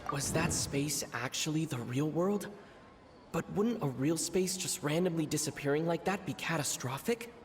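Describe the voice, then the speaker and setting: A man voicing earnest, higher-voiced young adventurer. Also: noisy background, lightly acted. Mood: thoughtful. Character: earnest young male adventurer, observant and analytical. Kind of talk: video game character dialogue.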